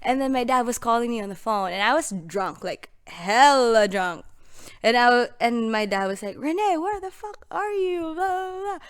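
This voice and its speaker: A woman's voice: on the high side, normal speed, clean tone. A young woman from the Philippines with a Filipino accent, a podcast guest telling stories in casual chat. She giggles often, talks with animation and keeps saying "literally" and "like".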